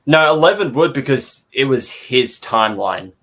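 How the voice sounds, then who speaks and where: A man co-hosting a fan discussion in a loose, casual way, haltingly circling back on his phrases. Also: medium pitch, animated, a bit bright. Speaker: podcast co-host.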